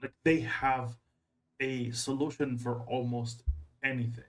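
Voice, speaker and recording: Male reaction host, medium pitch, casual commentary, rambling, halting, repetitive. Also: flat delivery. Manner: rambling, halting, repeats himself.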